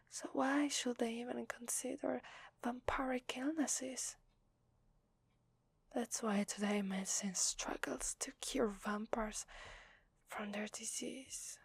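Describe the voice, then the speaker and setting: A woman with an Italian accent playing a gentle, apologetic vampire in an ASMR roleplay, whispering softly and hesitantly close to the microphone. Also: a little high, no room sound, exaggerated voice. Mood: hushed, explanatory. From Italy. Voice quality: soft breathy whisper. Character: gentle, apologetic female vampire confiding in a human. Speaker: ASMR voice actor. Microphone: close microphone, dry.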